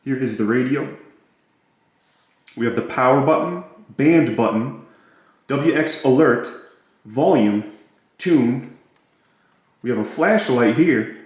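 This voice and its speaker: A man's voice: medium pitch, expressive, slow pace, long pauses. A man giving a casual hands-on gadget walkthrough in a plain, matter-of-fact way, listing features as he goes. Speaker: product reviewer. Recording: very echoey, roomy sound.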